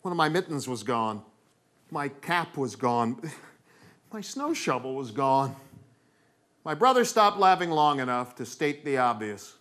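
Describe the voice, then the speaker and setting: A man's voice: mid-range voice, big pitch swings, measured pace, pauses often, fairly bright tone. A middle-aged American man performing theatrical comic storytelling on stage, acting out moments with dramatic delivery. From New England, United States.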